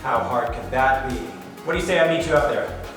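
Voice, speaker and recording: A man's voice: mid-range voice, brisk. A man hosting a guided tour documentary, presenting to camera in a chatty, playful way. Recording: echoey hall reverb, music behind.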